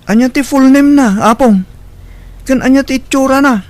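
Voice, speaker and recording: A woman's voice: high-pitched, normal speed, darkish tone, no room sound, close to an everyday voice. A woman with a Filipino accent acting a sullen student son in a radio drama. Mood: questioning. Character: sullen student son, ashamed of his mother.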